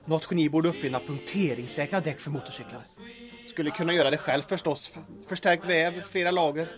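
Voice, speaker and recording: A man's voice: fairly high pitch, over music, natural voice. A man playing a flippant, muttering mechanic in an audio drama, with a dry, sarcastic manner. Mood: muttering, preoccupied. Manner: sarcastic, deadpan.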